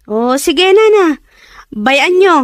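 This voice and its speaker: A woman's voice: very high-pitched, sing-song, slow pace, dry recording, clean studio sound, exaggerated voice. A woman playing a respectful, eager young village daughter in an Ilocano radio drama. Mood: polite. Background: music.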